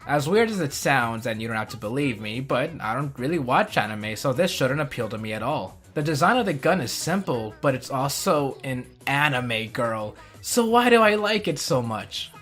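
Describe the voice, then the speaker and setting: A man's voice: mid-range voice, animated, normal speed, few pauses, a bit bright, faint music behind. A man giving scripted countdown commentary as a host, with wry, jokey asides. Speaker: host of a countdown review video.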